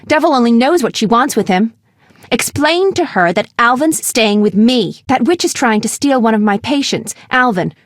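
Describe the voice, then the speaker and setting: A woman voicing a caring but feisty young female medic in a video game, speaking earnestly and directly, sometimes with a sharp tongue. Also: a little high, expressive, moderate pace, no room sound, clean studio sound, close to an everyday voice. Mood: indignant, scolding. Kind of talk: video game character dialogue.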